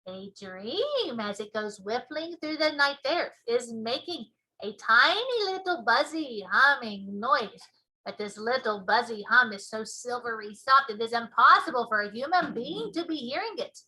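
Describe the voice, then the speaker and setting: A woman teacher reading a children's storybook aloud with expressive narration. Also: on the high side, unhurried, low-quality recording.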